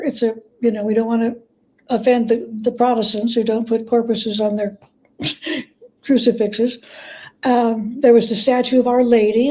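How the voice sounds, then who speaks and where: A woman with a fairly high, very soft and mellow voice talks as an interview guest. She explains things through conversational anecdotes, often stopping to rework a phrase. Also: poor audio. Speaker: guest, biographer.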